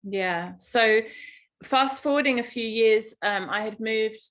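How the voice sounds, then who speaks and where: A woman's voice: on the high side, takes it slowly, fairly bright tone. A middle-aged woman with an English accent, telling personal stories as a guest in a conversational podcast interview. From England. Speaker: guest, yoga teacher and Ayurveda guide.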